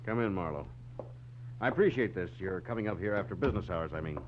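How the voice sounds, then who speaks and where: An actor playing a grim, brusque and anxious husband in a 1940s radio drama. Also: male voice, sits low, expressive, fast talker. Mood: urgent, grave. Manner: brusque, urgent. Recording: dull, faintly noisy old radio recording.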